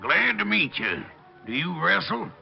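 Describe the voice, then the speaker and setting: A man playing a childlike, dim, eager circus strong man with a heavily gravelly voice, in old radio drama style. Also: high voice, sing-song, unhurried, over music, exaggerated voice. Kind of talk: old-time radio acting. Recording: dull old radio recording.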